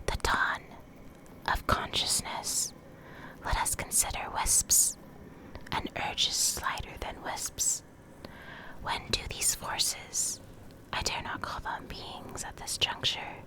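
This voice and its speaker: A woman doing an ASMR reading in a soft, breathy whisper, deliberate and pausing between short phrases. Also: rough recording. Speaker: ASMR creator reading game lore.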